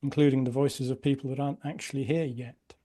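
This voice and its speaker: A man musing aloud in a measured way during a podcast conversation. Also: medium pitch, flat delivery, dark tone. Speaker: podcast co-host.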